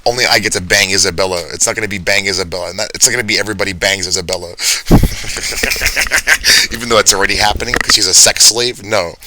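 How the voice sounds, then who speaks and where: A young man with a deep baritone voice rambling through a casual monologue, swearing often and laughing at his own jokes. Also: fairly even intonation, fast talker, sharp tone, a little hiss or hum, rough recording. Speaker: vlogger. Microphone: handheld or phone mic, speaking softly.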